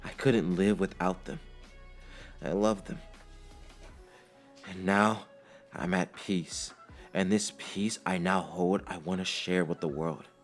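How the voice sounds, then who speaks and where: A man's voice: sits low, unhurried, long pauses, faint music behind. A man voicing an earnest, caring young ninja hero in an anime-style story, heartfelt and emphatic. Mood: calm, sincere.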